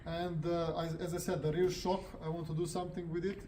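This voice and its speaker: A man's voice: fairly high pitch, flat delivery, brisk, mellow, slight background noise. A man with a Serbian accent hosting a motorcycle review in casual, unscripted vlog talk.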